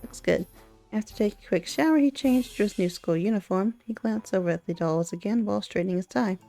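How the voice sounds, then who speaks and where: Bright-voiced woman narrating a game. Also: medium pitch, brisk, gravelly, faint music behind. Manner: expressive read-aloud with chatty asides. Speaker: gaming video creator reading a visual novel aloud. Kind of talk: playthrough narration with casual commentary.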